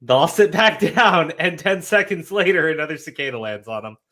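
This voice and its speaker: A man's voice: on the high side, big pitch swings, brisk, bright, forceful tone. Coughing laid-back male stream host. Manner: rambling, stumbling restarts, laid-back. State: coughing with a cold. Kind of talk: casual live-stream chat.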